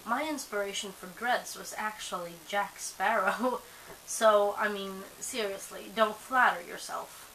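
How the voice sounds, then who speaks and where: A woman's voice: mid-range voice, unhurried, fairly bright tone, low-quality recording. A young woman whose first language is Swedish, chatting casually to camera, rambling with lots of fillers and restarts, a bit sleepy. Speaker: vlogger. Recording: faint hiss.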